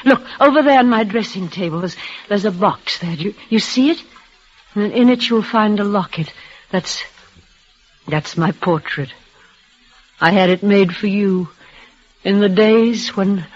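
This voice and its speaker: An actress playing a frail, dying young woman, tender and devoted, speaking in a breathless, halting way with soft repeats. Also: medium pitch, lightly acted. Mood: resigned, tender. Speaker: lead actress. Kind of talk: 1930s radio drama acting. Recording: muffled old radio broadcast.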